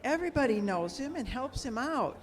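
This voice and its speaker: A woman's voice: sits high, sing-song, a little fast. A woman playing a sympathetic, inquisitive lady companion to a detective in old-time radio drama style. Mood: sympathetic, concerned.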